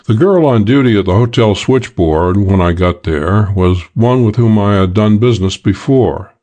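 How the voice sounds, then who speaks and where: A man reading a hardboiled detective audiobook as scripted narration, in a measured, matter-of-fact way. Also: fairly low pitch, warm tone.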